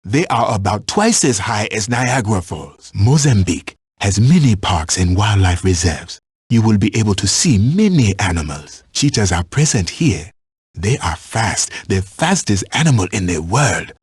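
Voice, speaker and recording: A man playing a watchful African safari hunting guide in a video game, giving instructions in measured, formal phrasing. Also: lowish voice, big pitch swings, husky, dry recording, clean studio sound, exaggerated voice. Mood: calm, instructive. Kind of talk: scripted video game voice lines.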